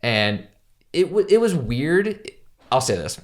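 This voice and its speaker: A man's voice: medium pitch, sing-song, takes it slowly. A young man from South Carolina, a podcast guest, telling candid, self-deprecating stories in casual conversation. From South Carolina, USA. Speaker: podcast guest, pro skateboarder.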